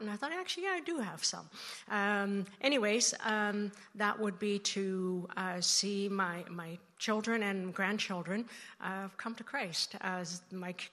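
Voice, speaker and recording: A woman in her sixties, from the Netherlands, sharing her faith story as a church interview guest in a thoughtful, halting way with many fillers, on a handheld mic in a church sanctuary. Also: mid-range voice, a bit bright. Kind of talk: personal testimony in church interview. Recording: long hall reverb in a church.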